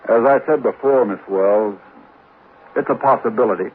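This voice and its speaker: An actor playing a casually persistent, guarded police investigator in a 1940s radio drama, questioning in a measured way. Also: male voice, lowish voice, lightly acted. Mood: probing, casual. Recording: noisy narrow-band old radio recording.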